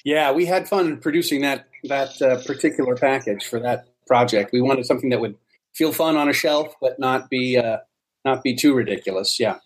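A man's voice: mid-range voice, moderate pace. A man talking as an interview guest, explaining things in a casual conversational way. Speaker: interview guest, society co-founder.

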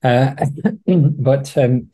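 A man's voice: medium pitch, very slow, dark tone. A man in a casual music discussion, talking as an enthusiastic, opinionated podcast panellist.

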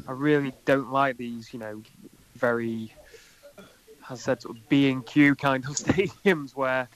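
A man in a casual podcast chat talks at a middling pitch. He hesitates often and fills his pauses with ums and "you know.". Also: fairly bright tone, low-quality recording. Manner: hesitant, with many fillers. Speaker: guest football fan.

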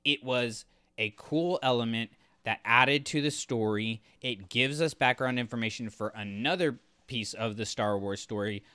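A man's voice: medium pitch, moderate pace, pushed and bright, clear, resonant tone, no echo. A man co-hosting a podcast, talking through a story in a casual, analytical and explanatory way. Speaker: podcast co-host. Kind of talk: casual book discussion.

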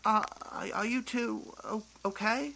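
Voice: mid-range voice, unhurried, creaky, bright, forceful tone. A performer playing a hesitant, folksy male zombie survivor in an amateur audio drama, stammering and drawling, with faint noise behind. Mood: nervous.

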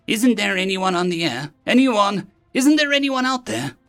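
A man acts out a panicked caller on the radio in an audio drama reading. The voice is high for a man and very animated, pleading urgently over music. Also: measured pace.

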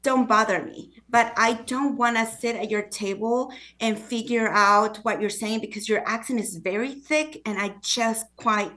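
A woman's voice: fairly high pitch, measured pace, hardly any pauses, fairly bright tone. A woman with a foreign accent, a guest author speaking earnestly in a conversational interview, often acting out what others say. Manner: earnest, acts out quoted voices.

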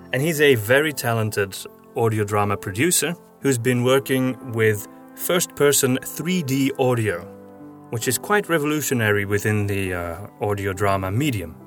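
Male podcast host and writer, medium pitch, explanatory news-update delivery over a music bed. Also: a bit bright.

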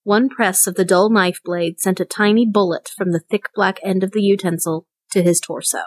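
A woman's voice: medium pitch, steady tone, normal speed, dry recording. A woman reading a short story aloud as scripted narration. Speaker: story reader.

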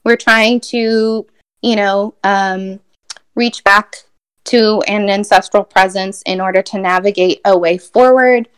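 A young woman poet from Brazil speaks in a smooth, clear voice. She answers an interview question thoughtfully, pausing and restarting often. Her pitch sits in a middle range for a woman. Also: fairly even intonation. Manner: thoughtful, many fillers and restarts, soft laughs. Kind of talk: reflective conversational interview answer.

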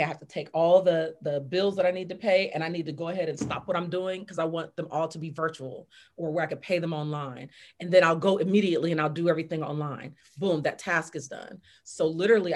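A middle-aged woman with a low, deep voice, a guest on a chat podcast who walks through steps in an upbeat, instructive way. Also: a little fast, hardly any pauses. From Fresno, California. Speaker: podcast guest, business author. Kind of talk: casual interview chat.

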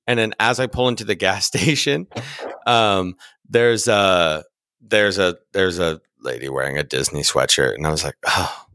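A man's voice: low voice, normal speed, pushed and bright, clear, resonant tone, dry recording, clean studio sound. A man hosting a podcast, chatting casually with lots of slang and swearing and the enthusiasm of a music fan. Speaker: podcast host.

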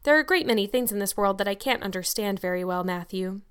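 A woman's voice: mid-range voice, animated, quick pace, clear, resonant tone, natural voice. A young girl from a storybook, played by a woman narrator, talks eagerly and at length. She is imaginative and self-assured, choosing grand, earnest words for everyday things. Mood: chatty, earnest. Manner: chatty, earnest, grandly worded. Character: talkative, dramatic, imaginative young girl, confident and bookish. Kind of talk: audiobook character dialogue.

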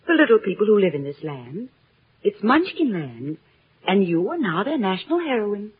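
A woman's voice: medium pitch, very animated, normal speed, slightly rough. An actress playing a gracious, self-assured good witch in a grand, lilting, theatrical old radio drama style. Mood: gracious, knowing. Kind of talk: 1930s-50s radio drama acting. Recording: tinny old radio broadcast, faint music.

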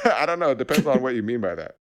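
A man's voice: mid-range voice, big pitch swings, fast talker, background noise. A man co-hosting a podcast, recapping a show in casual chat and laughing easily. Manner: laughs easily, reads out summaries. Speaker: podcast co-host.

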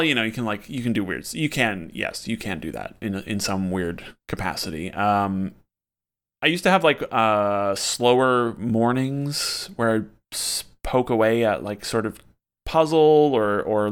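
A man's voice: on the low side, measured pace, clear, resonant tone. A man co-hosting a casual podcast about games, talking in a hesitant way with many restarts. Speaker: podcast co-host. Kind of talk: casual chat.

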